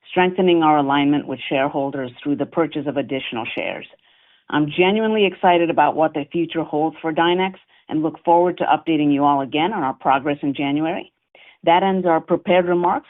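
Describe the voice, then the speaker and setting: Woman executive, polished formal delivery, earnings-call remarks, thin phone-like audio. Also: deep voice. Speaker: company executive. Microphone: conference call line. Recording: tinny narrow-band phone line.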